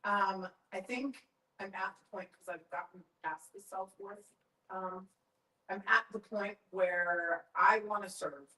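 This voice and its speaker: A woman's voice: medium pitch, measured pace, pushed and bright, roomy sound, recorded from a distance, poor audio. A woman at a workshop sharing her personal goals in a candid, reflective way. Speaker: workshop participant.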